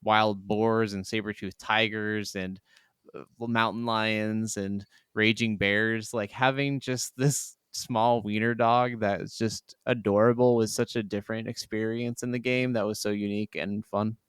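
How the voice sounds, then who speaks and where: A man's voice: on the low side, little pitch movement, a little slow, a bit bright, smooth, no echo, clean studio sound. A man co-hosting a podcast, chatting casually and enthusiastically about video games and laughing easily. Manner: enthusiastic, gushing. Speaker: podcast co-host.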